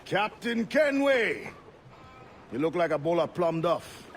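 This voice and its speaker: A man's voice: on the high side, steady tone, fairly quick, a little hiss or hum, close to an everyday voice. A man voicing a teasing, affectionate, loyal sailor comrade in a video game.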